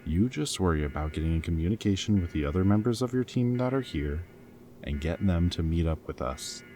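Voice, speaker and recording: A man's voice: low voice, expressive, a little fast. A man playing a goofy, flirty, protective rebel captive in a dystopian roleplay audio drama, with a wry, intimate way of talking. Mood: playful, flirty. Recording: background music, loud ambient noise in places.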